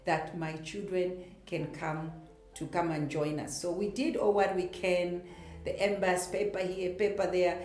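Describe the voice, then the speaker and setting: A middle-aged woman from Zambia tells her story as a guest in a casual interview, with a fairly low voice. She talks in an easy, storytelling way. The sound carries a roomy echo. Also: flat delivery, unhurried, a little mellow, soft background music. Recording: echoey room.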